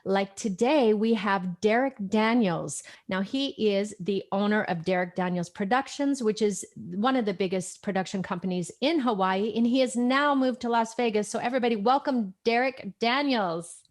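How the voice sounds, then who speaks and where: A woman's voice: mid-range voice, normal speed. A woman hosting a casual entertainment interview show in a gushing, enthusiastic way.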